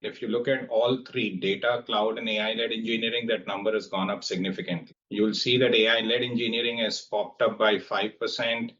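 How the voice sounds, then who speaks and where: Low-voiced executive man on a dull call line. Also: flat delivery, brisk, hardly any pauses, a bit bright. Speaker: company executive on an earnings call. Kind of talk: earnings call remarks and answers to analysts. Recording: dull, band-limited conference call audio.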